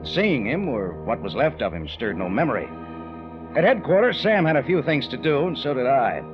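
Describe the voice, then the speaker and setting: A man's voice: mid-range voice, sing-song, moderate pace, close to an everyday voice. Hard-boiled American man played by an actor, wry and clipped, 1940s radio detective delivery, dull old recording with music. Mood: brooding narration. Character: tough, unflappable American adventurer narrating his own case. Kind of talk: 1940s radio detective acting and first-person narration.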